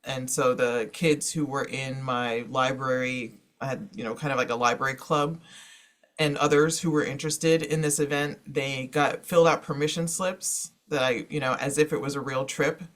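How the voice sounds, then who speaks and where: A man presenting informally to an online panel as a moderator, explaining things in a halting, conversational way. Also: medium pitch, fairly even intonation, a bit bright. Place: online panel, speaking over slides.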